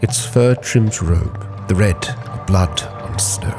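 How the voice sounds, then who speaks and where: A man narrating a dramatic story as a sea captain reading his log, solemn and measured, with phrases that sink into a hush. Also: low-pitched. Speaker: narrator and roleplayer. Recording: music under the voice.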